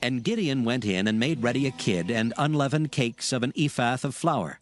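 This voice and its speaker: A man narrating scripture from a script in a solemn, measured storytelling manner. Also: mid-range voice, animated, slight background noise.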